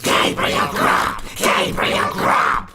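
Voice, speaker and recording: A man voicing a wild chorus of unseen spirits in a storybook reading, in drawn-out wailing cries. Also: very noisy. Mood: wild taunting.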